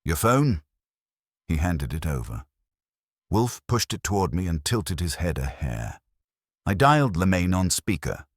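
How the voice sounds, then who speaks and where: A man's voice: sits low, big pitch swings, moderate pace, no echo, clean studio sound. A man narrating a first-person detective mystery story from a script. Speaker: audiobook narrator.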